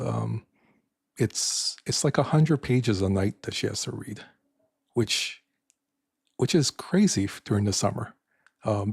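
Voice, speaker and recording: An older man with a raspy voice hosting a live stream in casual chat, soft-spoken and halting with many fillers. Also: lowish voice, no room sound, studio quality.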